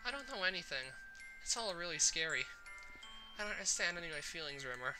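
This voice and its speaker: A woman's voice: on the low side, sing-song, quick pace, over music, voice pushed up, poor audio. A woman voicing a sad, fragile teenage anime girl in a visual novel, hesitant and self-blaming behind a forced smile. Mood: frightened, confused. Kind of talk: visual novel character voice-acting.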